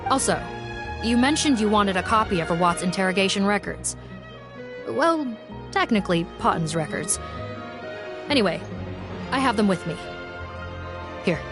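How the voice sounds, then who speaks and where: A woman's voice: mid-range voice, expressive, normal speed, pauses often, close to an everyday voice. A woman voicing a composed female police officer in a game, giving a matter-of-fact report. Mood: businesslike. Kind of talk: game cutscene voice acting. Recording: game audio with music behind.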